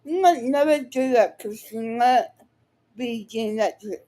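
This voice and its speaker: High voiced lady doing live reaction stuff, blunt n sweary, talks real slow and choppy. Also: warm tone. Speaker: online commentator reacting to another speaker. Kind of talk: unscripted live reaction commentary.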